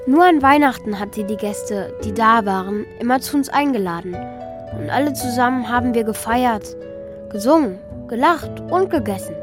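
High-voiced secretive child played by a woman. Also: lively intonation, normal speed, over music, lightly acted. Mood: confiding. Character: Mika, secretive school-age child narrating the story. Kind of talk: children's radio play, first-person narration and dialogue.

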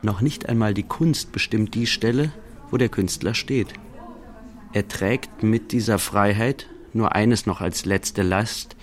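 A man narrating a scripted, verse-like radio play in a deliberate, declarative way. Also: fairly low pitch.

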